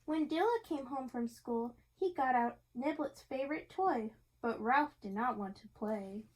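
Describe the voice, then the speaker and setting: A woman's voice: sits high, animated, a little slow, darkish tone, poor audio. A woman reading a picture book aloud to a child in an interactive way, encouraging and asking questions. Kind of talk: interactive storybook read-aloud.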